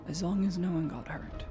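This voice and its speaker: A man playing a weary woman clinic worker in a roleplay game, sighing often and mildly exasperated. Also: sits high. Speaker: streamer playing a roleplay character. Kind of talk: roleplay chat in a social VR game. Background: music.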